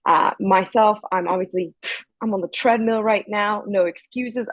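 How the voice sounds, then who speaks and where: A woman of about thirty from Guatemala, talking in an upbeat, energetic way on a video call while on a treadmill. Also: mid-range voice, no echo. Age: around 30. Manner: upbeat, energetic, pep-talk delivery. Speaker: sales call participant. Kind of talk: casual motivational group call.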